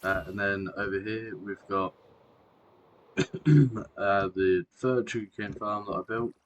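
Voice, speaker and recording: A man. He rambles, corrects himself and pauses on fillers, with a faint hiss of noise under the voice. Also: lowish voice, muffled recording. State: sore throat, clearing throat and coughing. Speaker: Minecraft video creator. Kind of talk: casual gameplay narration.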